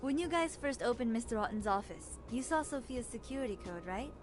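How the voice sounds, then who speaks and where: Actress as cunning young woman sleuth, highish voice, teasing, commanding, game dialogue over music. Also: expressive, a little fast, natural voice. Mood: confident, scheming. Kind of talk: visual novel game voice acting.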